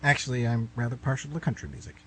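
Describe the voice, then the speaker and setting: A man's voice: mid-range voice, sing-song, fast, fairly bright tone. Bewildered thirties writer, played by a man: stammering, jumpy intonation, comic radio play, faint hiss and hum. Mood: puzzled. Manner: hesitant, stammering. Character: nervous, bewildered thirty-three-year-old male writer in hell. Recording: noisy amateur recording with hum.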